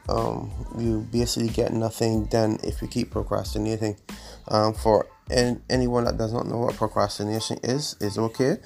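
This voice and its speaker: Congested male podcast host, low flat gravelly voice, halting with frequent ums. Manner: halting, many ums and restarts. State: congested from allergies. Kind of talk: solo motivational podcast talk.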